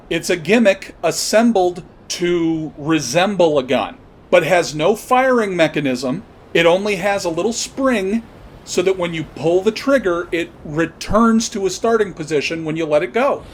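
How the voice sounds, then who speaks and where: A man delivering an opinionated commentary monologue, emphatically spelling out and repeating his points. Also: high voice. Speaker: podcast host commentator.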